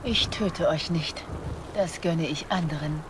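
A woman's voice: low-pitched, measured pace, background noise. An actress playing a vengeful, determined young female bard wounded by betrayal in a dubbed video game drama. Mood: cold, hurt. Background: loud music.

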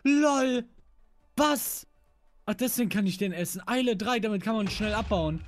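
A man's voice: sits high, normal speed, dry recording, clean studio sound. A man bantering casually as he plays a game, jokey and full of youthful slang. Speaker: gaming video co-player.

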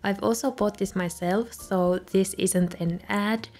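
A young woman, a native Finnish speaker, calmly narrating a voice-over for her video with soft laughs between remarks. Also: medium pitch, unhurried, soft background music. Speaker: journaling video creator. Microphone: voice-over recorded separately.

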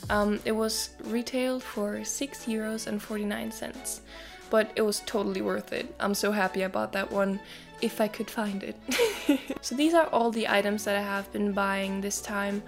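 A woman's voice: medium pitch, steady tone, moderate pace, gravelly, faint music behind. A woman in her twenties chatting casually through a haul video, filling her talk with "like" and the odd swear word. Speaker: vlogger. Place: small wood-paneled room indoors.

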